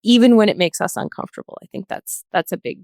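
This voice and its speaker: A woman speaking as a podcast guest, reflecting in an earnest, measured way in a panel discussion. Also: medium pitch, expressive, darkish tone, no echo, studio quality.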